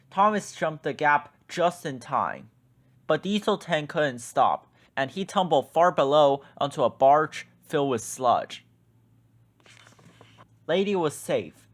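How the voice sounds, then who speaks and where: A man reading a children's picture book aloud in a lively, expressive storytelling manner. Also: fairly high pitch, no room sound.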